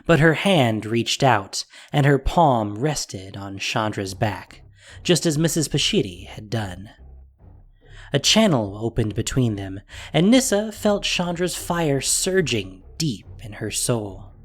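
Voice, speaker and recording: A male narrator reads scripted fantasy fiction with dramatic flair. His voice sits in a middle register and moves widely in pitch, following the action. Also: very animated, slow.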